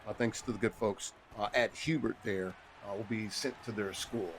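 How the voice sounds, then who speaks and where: A middle-aged man doing play-by-play commentary on a football video game tournament, his voice jumping up with excitement on big plays. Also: on the low side, normal speed, poor audio. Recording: faint background noise.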